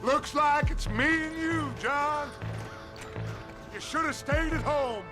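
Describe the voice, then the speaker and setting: High, yelling, defiant outlaw. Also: male voice, slow. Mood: defiant fury. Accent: American. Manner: taunting, yelling. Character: defiant, enraged male outlaw in a gunfight. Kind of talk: shouted video game cutscene acting. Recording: loud music and gunfire behind.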